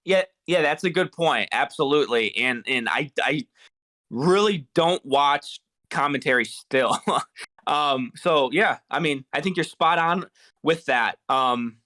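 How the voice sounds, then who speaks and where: A man's voice: a little high, a little slow, sharp tone. A young man chatting on a video call as a guest, rambling and swearing, with lots of restarts. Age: young adult. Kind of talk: casual chat on a call.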